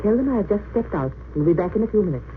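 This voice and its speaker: A woman playing a composed, resolute head nurse in a 1930s radio drama, speaking with firm, measured dignity. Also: medium pitch, lively intonation, close to an everyday voice. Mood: firm, urgent. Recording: tinny, noisy old radio recording.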